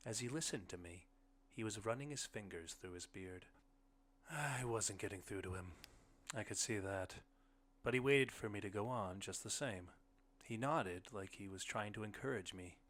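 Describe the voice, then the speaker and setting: Male reader for first-person literary fiction, lowish pitch, crisp forceful tone. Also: moderate pace. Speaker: audiobook reader. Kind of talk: first-person short story narration.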